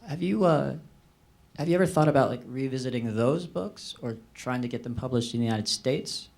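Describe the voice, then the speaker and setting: A man's voice: medium pitch, a little fast, creaky, darkish tone. A man at a live book talk asks a casual, somewhat hesitant question.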